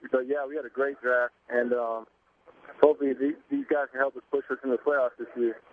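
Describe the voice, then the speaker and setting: A man's voice: medium pitch, brisk. Young man calling in over a tinny phone line. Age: young adult. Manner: relaxed, laid-back, many fillers. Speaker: basketball player calling in. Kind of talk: phone-in interview.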